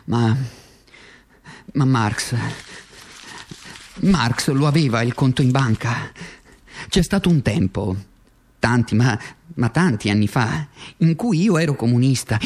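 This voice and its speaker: A man's voice: mid-range voice, animated, slow, long pauses, a little mellow. A man performing a radio theatre monologue as a worn-out, bitter and ironic former communist, declaiming with dramatic swings. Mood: wry nostalgia.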